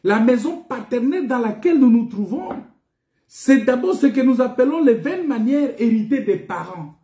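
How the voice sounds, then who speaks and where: A man preaching a sermon in an emphatic, repetitive teaching manner, pausing on rhetorical questions. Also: very high-pitched, lively intonation, mellow. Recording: echoey, reverberant room.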